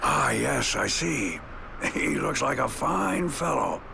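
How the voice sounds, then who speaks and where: A man's voice: medium pitch, very animated, slow pace, noisy background, heavily acted. A man playing a kindly, courteous old traveler with a folksy, genial manner in a video game scene. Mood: genial, teasing. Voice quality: creaky elderly voice. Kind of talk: dramatic video game cutscene acting.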